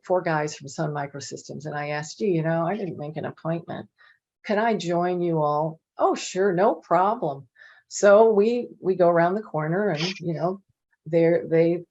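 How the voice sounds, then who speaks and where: A middle-aged woman on a video call speaks in a fairly low voice and tells stories in a chatty, animated way, with plenty of fillers. The sound is muffled and lo-fi. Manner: chatty, animated, many "you know" fillers. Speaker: panel presenter. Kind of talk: casual anecdotal storytelling. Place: home office with bookshelves. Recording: dull lo-fi video call audio.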